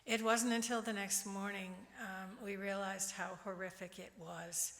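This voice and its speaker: A woman from northern New Hampshire speaks at a church service in an even, flat voice. Her tone is bright, and she halts often with "um". The sanctuary adds a long reverb. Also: mid-range voice. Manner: halting, many ums. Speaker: congregant.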